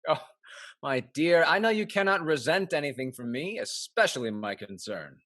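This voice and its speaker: A man's voice: fairly high pitch, lively intonation, normal speed, a bit bright, dry recording, clean studio sound. A man acting a cynical, falsely fond husband in a Restoration comedy, with theatrical irony. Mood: falsely tender. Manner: theatrical, ironic.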